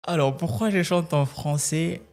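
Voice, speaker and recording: Measured, slightly high-voiced Congolese man. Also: vocal fry, a little mellow. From Kasai-Oriental, DR Congo. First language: Tshiluba. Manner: measured, repeats words while searching for phrasing. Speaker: gospel singer and writer, interview guest. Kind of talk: interview answers.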